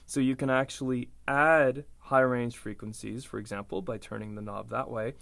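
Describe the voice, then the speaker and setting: A man's voice: mid-range voice, flat delivery, moderate pace, creaky, fairly bright tone. A man giving a casual hands-on lesson on a mixing board, explaining step by step with plenty of fillers and restarts. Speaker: instructor. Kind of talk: casual tutorial demonstration. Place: room with a mixing board and speaker.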